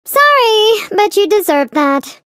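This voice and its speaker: A woman's voice: extremely high pitch, big pitch swings, takes it slowly, fairly bright tone, no echo, studio quality, exaggerated voice. A woman voicing a prim, doting and haughty motherly alien flea queen for a video game, theatrical and sing-song as she scolds and coos. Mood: stern, disdainful. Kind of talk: video game character voice lines.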